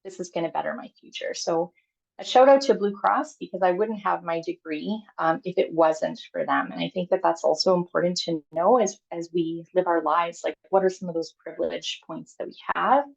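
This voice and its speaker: A woman's voice: lowish voice, moderate pace, vocal fry, lo-fi sound. A woman in her thirties from Canada gives a candid, chatty personal talk as a guest speaker on a call, with many fillers and restarts.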